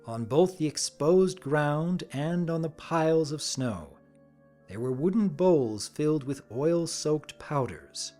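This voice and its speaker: A man narrating a fantasy story in a deliberate, theatrical dramatic reading. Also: medium pitch, a little mellow.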